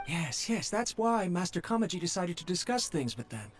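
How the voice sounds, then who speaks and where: A man's voice: high voice, very animated, moderate pace, soft background music. An actor playing a nervous young male servant who stammers and speaks humbly. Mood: worried.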